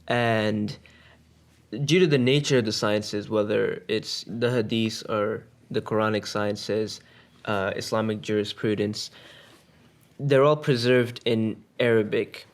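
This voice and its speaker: A man with a low, gravelly voice lays out his argument step by step on a casual podcast panel. He pauses with fillers but keeps an explanatory tone. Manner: explanatory, lecture-like. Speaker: podcast co-host.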